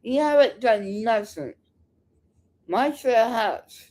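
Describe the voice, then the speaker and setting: A woman's voice: fairly high pitch, animated, slow, darkish tone. A woman making unscripted reaction commentary, heckling in a combative, scornful and curt manner.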